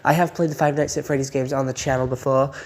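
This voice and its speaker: Young guy, croaky voice, loose chatty delivery with fillers, casual YouTube game reviewer. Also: medium pitch, steady tone, fast.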